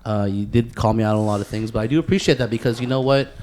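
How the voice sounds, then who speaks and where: A man's voice: on the low side, racing, background noise. A man hosting a casual podcast, talking in a rambling, informal way with plenty of swearing and slang. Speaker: podcast host.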